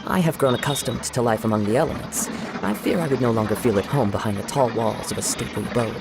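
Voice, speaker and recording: A man playing a reserved young samurai wanderer in a video game, speaking formally and reflectively over loud noise. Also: mid-range voice, fast, vocal fry, natural voice. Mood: reserved, thoughtful. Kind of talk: video game character acting.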